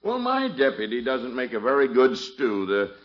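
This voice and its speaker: An actor playing a formal middle-aged small-town police chief in 1950s radio comedy, courteous and earnest. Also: male voice, medium pitch, wildly swooping pitch, moderate pace. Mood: hesitant. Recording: muffled vintage 1950s radio recording.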